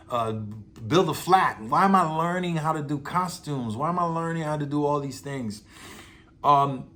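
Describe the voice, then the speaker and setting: A man's voice: mid-range voice, a bit bright, slight background noise. A man from Haiti, an actor, giving casual advice to theater students in a conversational way, with many fillers and repeated words, laughing easily.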